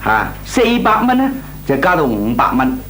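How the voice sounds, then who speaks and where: A lazy, argumentative young nephew in an old Cantonese radio comedy. His Hong Kong Cantonese delivery is flippant and very animated, swinging up and down in pitch. There is faint noise and hum on the recording. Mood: cocky, argumentative. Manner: scoffing, flippant, drawn-out theatrical delivery.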